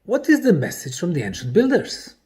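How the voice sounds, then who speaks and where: A man delivering a scripted documentary presentation in deliberate, emphatic phrases broken by pauses. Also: high-pitched, huge pitch swings, darkish tone. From Bosnia.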